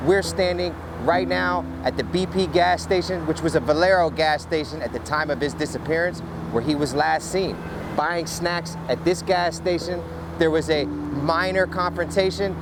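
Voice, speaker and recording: A man hosting an investigation series, presenting to camera in a deliberate, emphatic way. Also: fairly high pitch. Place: outdoors at a gas station. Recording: noisy outdoor roadside audio.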